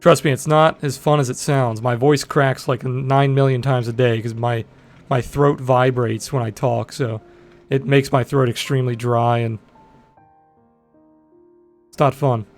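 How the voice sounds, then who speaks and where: A young man in his twenties with a deep voice, chatting bluntly and profanely with viewers on a trading livestream. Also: steady tone, a little slow, faint music behind. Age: 20s. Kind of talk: casual livestream chat answering viewers.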